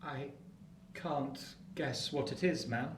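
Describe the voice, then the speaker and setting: A man's voice: mid-range voice, slow pace, lightly acted. An actor playing a timid, deferential young boy in a Victorian radio play, meek and polite. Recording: distant, echoey, slightly noisy room.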